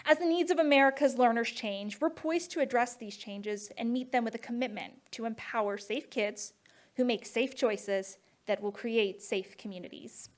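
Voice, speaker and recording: A woman giving a prepared conference presentation in a measured, scripted way. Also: on the high side. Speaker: conference speaker for a rail safety nonprofit.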